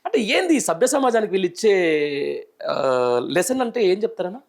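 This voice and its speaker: A man's voice: high-pitched, very animated, brisk, a little mellow. A middle-aged man with a Telangana accent, hosting live political news commentary with emphatic, indignant rhetorical questioning. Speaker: news show host, journalist.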